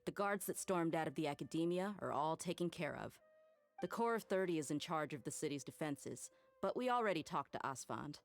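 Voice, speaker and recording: Brash, low-voiced mercenary. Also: female voice, lively intonation, fairly quick. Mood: confident. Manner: blunt, casual, swaggering. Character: brash, tough, good-hearted young female mercenary. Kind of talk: anime game voice acting.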